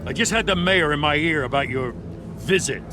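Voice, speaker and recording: An actor playing a middle-aged police lieutenant, blunt, wary and gravelly, in a dramatic game. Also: male voice, fairly high pitch, brisk, over music, lightly acted. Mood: wary, exasperated. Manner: exasperated, blunt.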